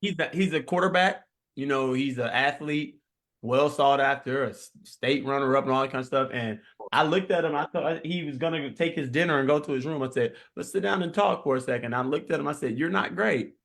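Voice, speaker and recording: A forty-year-old man, his voice sitting a little high, answers as a guest in a casual interview. He speaks slowly and earnestly, weighing each thought before it lands. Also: creaky, a bit bright. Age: 40s. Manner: reflective, slow and earnest. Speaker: guest musician.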